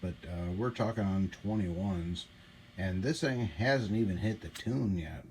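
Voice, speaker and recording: A man with a low voice gives a casual hands-on demonstration as host of a repair channel. His delivery is informal and enthusiastic. There is light background noise from the workshop room. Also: a little slow, darkish tone. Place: small indoor home workshop. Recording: noisy room ambience on camera mic.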